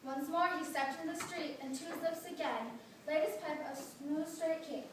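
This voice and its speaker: A woman's voice: sits high, normal speed. A woman narrating a verse tale as part of a readers theatre performance. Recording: distant, very echoey room, faint noise.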